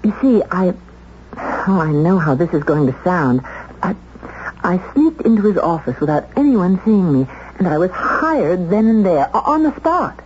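A woman playing a flustered young secretary under suspicion in a 1970s radio drama, halting and emphatic as she protests. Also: on the low side, sing-song, a little mellow. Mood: flustered, defensive. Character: flustered young female secretary accused of murder. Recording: dull, hissy vintage radio recording.

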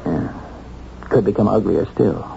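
A wry small-town newspaperman in an old radio drama. He is very dark and soft in tone with a heavy creak, asking casual, probing questions. The recording is dull with faint noise. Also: sits low, a little slow, exaggerated voice. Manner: casual, hesitant lead-ins, probing questions. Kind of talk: 1970s radio drama acting.

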